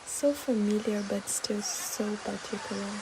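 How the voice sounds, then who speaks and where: A woman whose first language is Portuguese, narrating a reflective voice-over slowly and softly, partly in a whisper. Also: medium pitch, heavy background noise. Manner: slow, soft, partly whispered. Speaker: artist narrating her video work.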